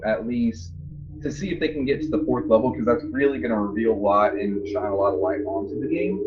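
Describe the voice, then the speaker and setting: A man talking casually and conversationally as a game developer being interviewed. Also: lowish voice. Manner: informal, conversational. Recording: dull lo-fi voice with faint noise.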